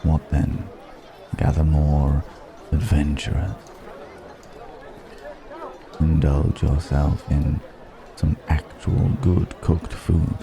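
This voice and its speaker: A man playing a suave, probing dragon posing as a curious stranger, musing and gently mocking in an intimate ASMR roleplay. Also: rumbling low, flat delivery, creaky, heavily acted. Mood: musing, challenging. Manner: deliberate, musing, gently mocking, long pauses. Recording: background music and crowd murmur.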